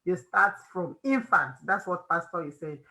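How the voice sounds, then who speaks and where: A woman's voice: fairly low pitch, expressive, moderate pace, bright, forceful tone. A woman with a Nigerian accent hosting an informal faith-based advice talk, emphatic and repeating phrases for stress. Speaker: host of a family discussion.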